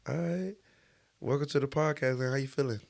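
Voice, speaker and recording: A man's voice: mid-range voice, a little fast, vocal fry, a little mellow. A man hosting a podcast in a casual, slangy interview style, with a joking, teasing manner. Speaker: podcast host.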